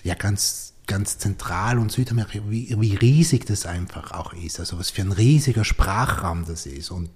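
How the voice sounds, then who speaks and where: Husky man hosting a casual conversation. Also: fairly low pitch, moderate pace, hardly any pauses, darkish tone. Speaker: podcast host.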